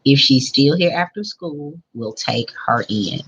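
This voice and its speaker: Woman reading as a husky, gentle storybook mother. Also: very deep voice, animated, a little slow, dull audio, full character voice. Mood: encouraging. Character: kind, agreeable mother in a picture book.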